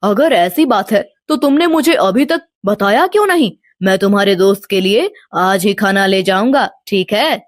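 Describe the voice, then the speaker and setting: A woman voicing a kind, devout village father with a gentle, humble manner in a storybook tale. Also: medium pitch, animated, moderate pace, hardly any pauses, no room sound, studio quality, pitched below the performer's own voice, close to an everyday voice. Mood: earnest, kind.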